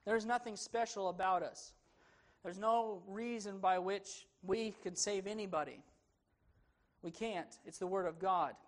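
A man's voice: sits high, a little slow. A man preaching an expository sermon in a church, earnest and emphatic, his delivery rising and falling for emphasis. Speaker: pastor.

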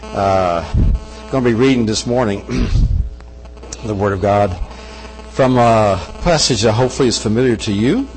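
A man's voice: lowish voice, normal speed, darkish tone, long reverb tail, faint music behind, low-quality recording. An older man with a Kentucky accent, raised in Brazil, preaching as a guest missionary in a church on a wireless mic, folksy with joking asides. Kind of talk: sermon. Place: church service.

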